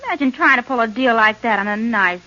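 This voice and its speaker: An actress playing a brassy, flirtatious gangster's moll in a 1940s radio drama, with slangy, cooing, uneducated speech. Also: female voice, on the high side, quick pace, bright, forceful tone. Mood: flirtatious flattery. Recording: hissy muffled old radio recording.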